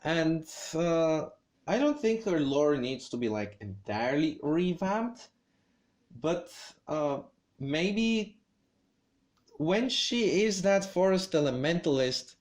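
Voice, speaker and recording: A man delivering game commentary at an unhurried pace, stopping often to search for words. His voice sits a bit higher than most men's. He sounds opinionated but careful. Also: big pitch swings. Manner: hesitant, many fillers and restarts; opinionated, measured. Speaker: gaming video creator.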